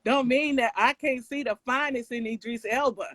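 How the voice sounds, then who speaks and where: A woman guest speaking calmly and plainly in a casual conversation, heard faint and distant over a remote call. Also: on the high side, normal speed.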